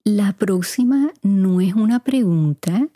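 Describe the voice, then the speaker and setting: A middle-aged woman with a mostly neutral Puerto Rican Spanish accent, hosting a podcast and answering listener questions in an unhurried, chatty way, speaking straight to her listeners. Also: medium pitch, expressive, warm tone, dry recording, studio quality. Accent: Puerto Rican Spanish, kept mostly neutral. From Puerto Rico. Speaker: podcast host. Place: home recording room. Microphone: studio mic.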